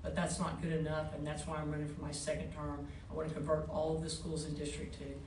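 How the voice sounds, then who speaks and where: A man gives a campaign speech as a school trustee. His voice sits fairly high and stays even, heard from a distance in an echoey board room. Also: fast talker. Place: public forum in a board room. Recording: echoey boardroom from far off, faint noise.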